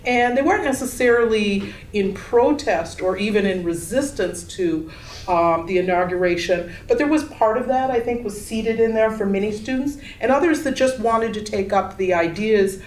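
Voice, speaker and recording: A woman artist and teacher speaking in a measured, articulate, explanatory way during a panel discussion. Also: medium pitch, a little mellow. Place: gallery room, picked up from a distance. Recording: echoey room, distant mic, background noise.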